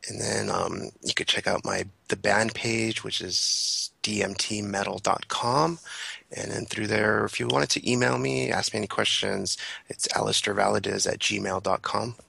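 A man's voice: lowish voice, fairly even intonation, moderate pace, gravelly, sharp tone, husky, no echo. A man speaking as a guest in a casual, reflective interview, informal and peppered with "you know". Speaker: guest, metal singer.

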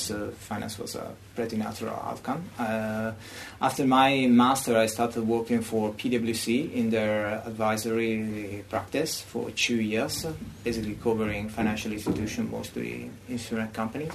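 A man's voice: lowish voice, flat delivery, moderate pace, creaky, slight background noise. A young man with an Italian accent explaining his professional background as a podcast guest. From Italy. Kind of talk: explanatory self-introduction.

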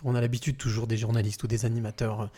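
Soft-voiced dark-toned man hosting a podcast. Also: medium pitch, flat delivery, racing, slightly rough. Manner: warm, searching for words with repeated restarts. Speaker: podcast host. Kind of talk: relaxed podcast interview. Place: large bright living room in a home.

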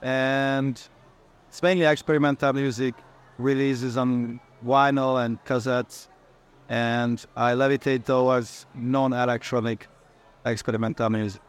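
A man's voice: mid-range voice, flat delivery, a little slow, smooth. A man from Hungary, a panel guest, introducing himself in a friendly, slightly self-deprecating way. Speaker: panel guest, label runner.

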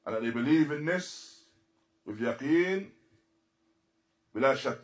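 Guy teaching a religious class, halting emphatic delivery, repeats phrases, drops in arabic quotes. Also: medium pitch, lively intonation. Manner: switches into fluent Arabic quotations. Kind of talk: religious lecture explaining a text.

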